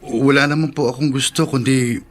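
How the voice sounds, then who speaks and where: A man's voice: mid-range voice, fairly even intonation, fairly quick, natural voice. An actor with a Filipino accent playing a polite, worried young man in a radio drama. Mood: worried, respectful. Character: polite, worried, apologetic young male former suitor.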